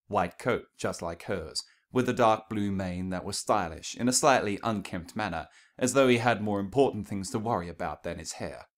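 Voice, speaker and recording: A male narrator reads a piece of fiction. His voice sits on the low side. The delivery is scripted storytelling, sentence by sentence. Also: normal speed, hardly any pauses.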